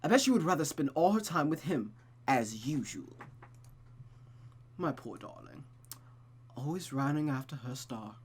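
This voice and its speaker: Visual novel mother, played by a male reader: cold, controlling, deliberate, scornfully condescending. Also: a little high, animated, breathy edge.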